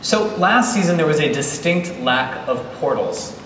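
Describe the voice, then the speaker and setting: A man's voice: a little high, very animated, unhurried, fairly bright tone. A man hosting a live fan convention panel, asking questions in a conversational, jokey way. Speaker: panel host. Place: large indoor convention hall stage. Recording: echoey convention hall, distant, crowd noise.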